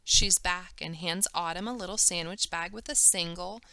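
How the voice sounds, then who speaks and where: A woman's voice: on the low side, moderate pace, vocal fry, sharp tone, no room sound. A woman teacher reading a children's novel aloud, careful and emphatic, doing voices for the characters.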